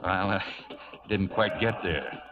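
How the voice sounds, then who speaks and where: An actor playing an easygoing, talkative roving cowhand with colloquial speech in a 1940s radio western. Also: male voice, on the low side, takes it slowly. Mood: easygoing, persuasive. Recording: dull lo-fi 1940s radio recording.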